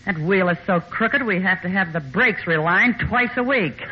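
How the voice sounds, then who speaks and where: An actress playing a hard-boiled, deadpan woman who runs a western gambling saloon in a 1950s radio comedy, on a muffled recording. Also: medium pitch, moderate pace, husky.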